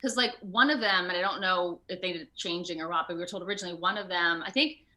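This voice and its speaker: A woman with a slightly low, bright voice talks casually as an interview guest. She is chatty and expressive, working out her thoughts aloud in loose, informal phrases. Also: quick pace. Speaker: guest, video game voice actor. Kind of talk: casual animated interview chat. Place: remote video call.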